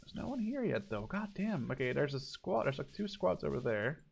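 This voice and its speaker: A man's voice: on the high side, sing-song, normal speed, gravelly, loud music behind the voice. A man hosting a gaming video, commenting casually on gameplay and thinking aloud. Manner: thinks aloud, mild swearing. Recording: gunfire and clicks behind voice. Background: video game gunfire.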